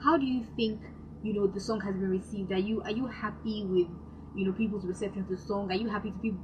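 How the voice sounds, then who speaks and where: A woman hosting a radio interview in a studio, warm and effusive with her praise. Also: medium pitch, steady tone, fast talker, recorded from a distance. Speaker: radio show host. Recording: lo-fi broadcast with clear background noise.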